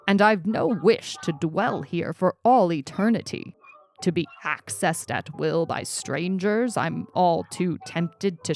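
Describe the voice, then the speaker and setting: Woman reading as grumpy, grandfatherly old man, slow-spoken. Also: on the low side. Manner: acerbic, pleading. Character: grumpy old male author program, grandfatherly, weary.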